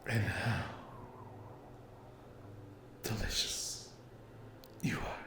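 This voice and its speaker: A man voicing a cruel, mocking sleep paralysis demon in a whispered ASMR horror roleplay, taunting the listener with menace and mock-sweet endearments in a breathy, gravelly voice. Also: lowish voice, very slow, background noise. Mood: cold, threatening.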